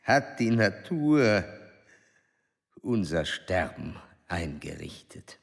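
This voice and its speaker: A man acting an ageing male explorer-scientist in a German radio play, grave and fatalistic, with a measured, formal delivery. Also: on the low side, sing-song, heavily acted. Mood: solemn oratory. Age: older. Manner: measured, formal, oratorical pauses. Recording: long hall reverberation.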